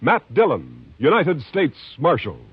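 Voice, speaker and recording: A man announcing an old radio drama in a dramatic, declamatory way. His pitch is lively and slightly high. Music plays under a dull, dated broadcast sound. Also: measured pace, husky, no echo. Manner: resonant, declamatory.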